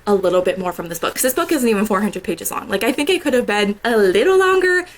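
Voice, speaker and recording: A woman's voice: medium pitch, animated, fast talker. A young woman talking casually about a book she finished, filling her talk with "like" and laughing often; she sounds a little congested. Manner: chatty, lots of "like" and "I don't know", laughs often. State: congested after a sore throat. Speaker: book vlogger. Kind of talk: casual book review vlog.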